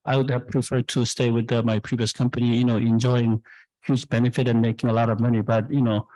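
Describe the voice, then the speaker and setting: A man's voice: medium pitch, level tone, fast talker, mellow, no room sound, poor audio. A man in his fifties from South Korea, a startup chief answering audience questions on stage with candid, rambling talk full of fillers and restarts. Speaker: startup founder and CEO speaking at an event.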